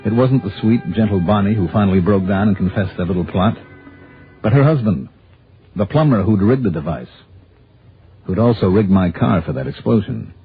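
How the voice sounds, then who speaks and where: An actor playing a brisk, shrewd, skeptical insurance investigator with an American accent and clipped, probing delivery in a 1960s radio drama. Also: male voice, fairly low pitch, lightly acted. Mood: wry narration. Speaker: actor in a radio detective series. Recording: tinny vintage radio recording, music behind.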